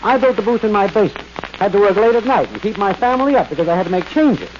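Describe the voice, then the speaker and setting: A man's voice: sits high, animated, quick pace. An American man acting a put-upon, sardonic neighbor in an old-time radio sitcom, wry and long-suffering, on a noisy, muffled recording. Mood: griping.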